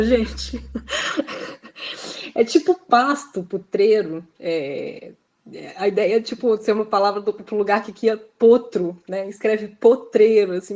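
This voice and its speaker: Mineira woman chatting in an interview, thoughtful, lots of pauses and fillers, laughs easy. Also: mid-range voice, a little mellow. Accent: Minas Gerais. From Belo Horizonte, Brazil. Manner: reflective, hesitant, fills with "assim", laughs often. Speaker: guest author. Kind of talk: casual interview conversation.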